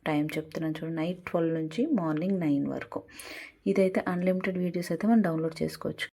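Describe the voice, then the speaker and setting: A woman's voice: on the low side, rapid-fire, warm tone. A woman hosting a casual step-by-step tutorial, mixing in English words. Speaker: tutorial host.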